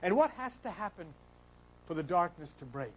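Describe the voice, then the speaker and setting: Animated high-pitched man lecturing on spirituality. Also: moderate pace, slight background noise, low-quality recording. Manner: emphatic, repetitive, poses rhetorical questions. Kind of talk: animated esoteric religious lecture.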